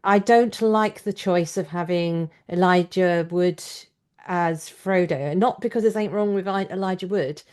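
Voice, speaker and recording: Woman, slightly low pitch, podcast interviewer, conversational, opinionated, reasoning aloud. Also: moderate pace, darkish tone, smooth. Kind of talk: conversational literary discussion.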